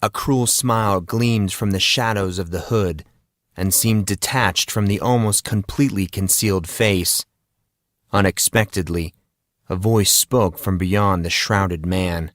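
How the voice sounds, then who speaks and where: Slow, low-voiced man narrating fantasy. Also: fairly bright tone, no room sound, clean studio sound. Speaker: audiobook narrator. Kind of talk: dramatic scripted fantasy narration.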